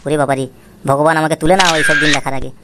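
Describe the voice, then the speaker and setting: A woman's voice: low-pitched, steady tone, rapid-fire, heavy background noise. A woman voicing an exasperated, foul-mouthed, sly father in a comic cartoon, grumbling and wheedling. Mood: angry, exasperated. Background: music.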